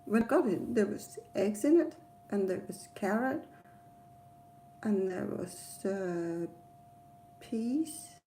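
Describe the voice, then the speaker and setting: Slow-talking Danish-accented woman vlogger. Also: medium pitch, lively intonation, mellow, slight background noise. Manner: hesitant, rambling, with frequent pauses. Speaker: travel vlogger. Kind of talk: casual personal storytelling to camera.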